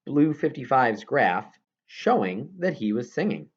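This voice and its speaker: A man's voice: mid-range voice, big pitch swings, a little slow, breathy edge, dull audio. A man reading a children's novel aloud as scripted narration, now and then stumbling over a word. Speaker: audiobook reader.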